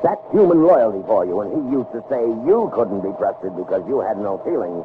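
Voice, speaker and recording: A proud older scientist talks grandly in a fairly low, very lively voice, devoted to his machine and pleased with himself. It is vintage radio drama, with a narrow, muffled band. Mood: brisk, musing. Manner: grandiose, brisk, self-satisfied. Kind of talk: 1960s radio drama acting. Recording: noisy, band-limited vintage radio recording.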